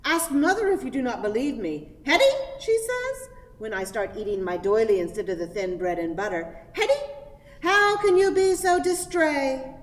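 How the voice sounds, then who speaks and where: An actress playing a prim, pious, dreamily distracted spinster in a radio play, with an affected, fluttery, sanctimonious manner. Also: female voice, sits high, sing-song, moderate pace. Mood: affected, dreamy. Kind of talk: theatrical radio play acting. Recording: echoey hall with long reverb.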